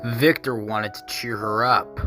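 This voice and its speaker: Male narrator for a children's tale: measured, dramatic, unhurried read over a clear music bed. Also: lowish voice, fairly even intonation. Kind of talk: scripted children's story narration. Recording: prominent music behind the voice.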